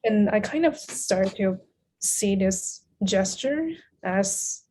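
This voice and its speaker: A woman's voice: mid-range voice, animated, takes it slowly, warm tone, a little hiss or hum. A woman guest on a podcast, discussing literature casually in a thoughtful, agreeable manner.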